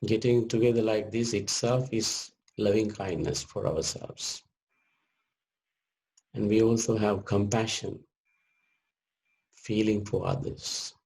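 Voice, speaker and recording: A man leading a guided meditation as a teacher, speaking gently in short measured phrases with long pauses. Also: fairly low pitch, steady tone, rough recording.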